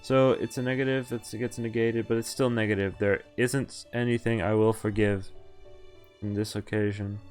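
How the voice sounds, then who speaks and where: A man streaming a visual novel, reading its lines aloud and breaking off to react and explain, with animated exclamations and groans. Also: lowish voice, normal speed, soft background music.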